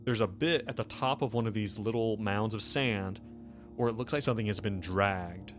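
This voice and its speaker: An American man narrating a tabletop game as game master, improvising in a halting, conversational way. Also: fairly low pitch. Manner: halting, conversational, peppered with "like" and "you know". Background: faint music.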